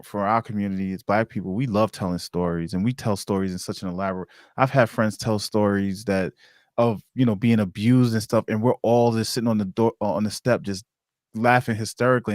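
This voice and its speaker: Fast-talking American man, low-pitched. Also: dry recording, clean studio sound. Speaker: podcast host. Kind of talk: casual interview chat.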